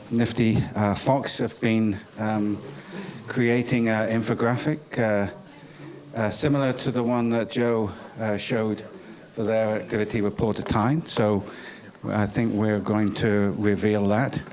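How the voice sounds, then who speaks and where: A British man from Cornwall giving semi-formal closing remarks at a conference, speaking in a halting, hesitant way. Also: fairly low pitch, little pitch movement, darkish tone. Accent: British English. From Cornwall, England. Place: indoor business school conference venue. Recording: clearly noisy conference room recording.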